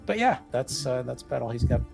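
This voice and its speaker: A man taking part in a tabletop roleplaying game, casually improvising what his character does and thinking aloud in a halting, jokey way. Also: mid-range voice, sing-song, creaky, faint music behind.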